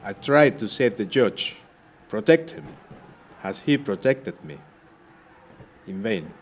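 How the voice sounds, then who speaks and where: A man's voice: lowish voice, big pitch swings, slow, a little hiss or hum. A man playing a proud, courtly Spanish sea captain, earnest and formal in a declamatory audio-play style. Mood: grieving.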